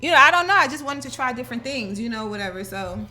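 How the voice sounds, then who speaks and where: Woman, young, quick-talking, bright and forceful, rambling, casual chat, faint room ambience. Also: fairly high pitch. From Philadelphia. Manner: rambling, filler-heavy, animated. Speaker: guest musician.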